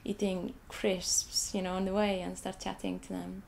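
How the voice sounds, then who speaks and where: An Icelandic woman, a young adult, speaks English as her second language. She talks haltingly and repeats words as she restarts her sentences. Her voice is dark, a little gravelly, and fairly flat, with a faint hiss in the background. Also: mid-range voice, rough recording. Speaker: artist. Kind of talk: interview, describing her photographs.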